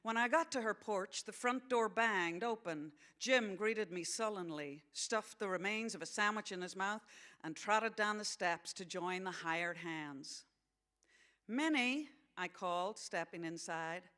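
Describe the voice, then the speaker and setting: A woman playing an earnest sixteen-year-old farm girl who narrates a novel in an attempted American accent, with measured delivery. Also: medium pitch, bright, forceful tone. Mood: earnest, observant. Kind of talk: dramatised novel reading.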